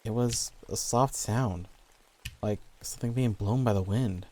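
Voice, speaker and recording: A man's voice: lowish voice, unhurried, dark tone. A man reading scripted horror stories as the narrator.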